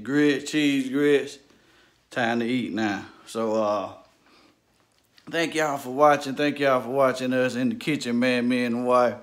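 A young man with an easy, laid-back delivery chats through his cooking for a family vlog. His voice sits at a middle pitch. He keeps calling his viewers family. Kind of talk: casual family vlog chat.

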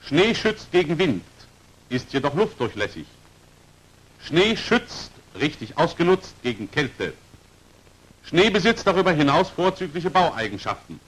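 A male narrator with a fairly high, animated voice delivers scripted 1940s instructional film commentary. His is formal and precisely articulated, with pitch that moves widely from phrase to phrase. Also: measured pace, breathy edge, low-quality recording. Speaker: narrator of a military training film.

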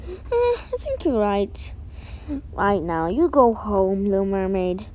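A woman's voice: mid-range voice, very animated, slow pace, mellow. A woman voicing a stern, peace-keeping royal ruler of the ocean in a cartoon, firm and admonishing. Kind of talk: amateur cartoon character voice acting.

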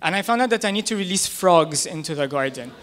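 Guy with a high voice doing stand-up into a handheld mic, chatty and self-mocking. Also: lively intonation, fast, a bit bright. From United States. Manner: conversational, self-deprecating storytelling. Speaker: stand-up comedian. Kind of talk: stand-up comedy set. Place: small comedy club stage, indoors. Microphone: handheld stage microphone.

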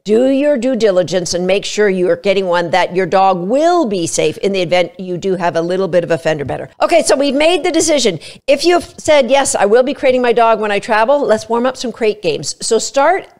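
A woman's voice: mid-range voice, expressive, fairly quick, few pauses, darkish tone. A woman in her fifties, a dog trainer, giving chatty, emphatic travel tips straight to camera at a studio microphone. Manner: chatty, emphatic, brief quoted asides. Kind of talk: casual instructional talk to camera. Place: small studio room indoors. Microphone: studio mic in front of her.

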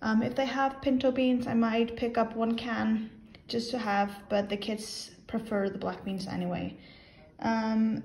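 A woman's voice: mid-range voice, a little mellow, slightly rough, poor audio. A woman vlogger chatting casually with many fillers, explaining her weekly grocery plan at home.

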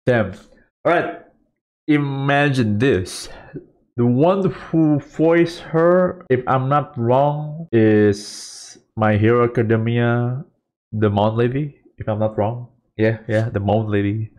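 A man's voice: mid-range voice, slow, darkish tone. A man giving casual live reaction commentary, exclaiming and repeating his phrases. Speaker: reaction video host. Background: game trailer music.

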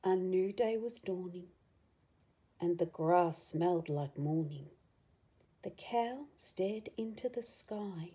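A woman reading a picture book aloud to children, with expressive, dramatic emphasis and asides to the listener. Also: fairly low pitch, slow, slightly rough.

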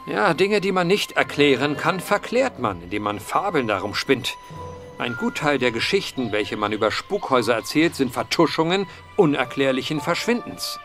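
An earnest, eloquent gentleman investigator tells of strange matters in a radio mystery. His voice sits at a middle pitch and his phrasing is formal and measured in wording. Soft music plays behind him. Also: big pitch swings. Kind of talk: period mystery radio play acting.

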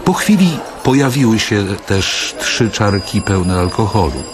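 An actor playing a world-weary, brooding man who narrates a radio drama in a measured, literary, reflective way. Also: fairly low pitch, big pitch swings, a crowd in the background. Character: world-weary, brooding man recalling a wartime-era evening.

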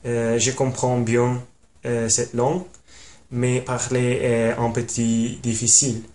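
A man in his twenties with an Italian accent, introducing himself in a learner's halting way with many pauses and fillers. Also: mid-range voice, completely flat delivery, darkish tone. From Italy. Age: 20s. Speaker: language learner. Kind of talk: casual self-introduction. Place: small room at home.

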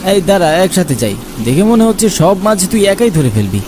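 A teasing young village man from a cartoon, acted by a man, with a high voice. He chides in big swoops of pitch, with clear rain noise behind. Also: very animated, fairly quick. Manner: teasing, chiding.

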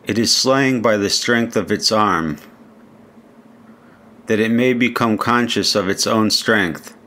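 Slow, low-voiced man reciting poetry. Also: bright, forceful tone. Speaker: reader. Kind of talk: recited poetry audiobook reading.

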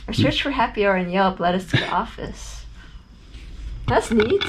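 A woman in her thirties chats casually while touring a hotel room, quick to praise what she sees. Her voice sits at a middle pitch. The handheld camera audio carries a steady noise bed with small clicks. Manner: enthusiastic, appreciative. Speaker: co-host. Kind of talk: casual chat. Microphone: handheld action camera.

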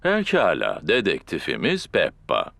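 A man voicing a big, kindly, playful daddy pig in a children's cartoon dub, with drawn-out fatherly exclamations. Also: sits low, wildly swooping pitch, gravelly, fairly bright tone, no echo, full character voice. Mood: warmly grand.

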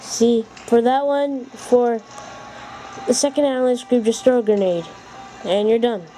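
A woman's voice: on the high side, steady tone, measured pace, warm tone, faint music behind. A woman giving a casual, plainly worded game walkthrough as host of a gameplay video. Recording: noisy camera recording of handheld game. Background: handheld game audio.

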